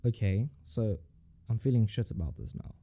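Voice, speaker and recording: Deep, mellow young South African man. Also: normal speed. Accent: South African English. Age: young adult. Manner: animated, emphatic, swears casually. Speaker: university student. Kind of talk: casual chat.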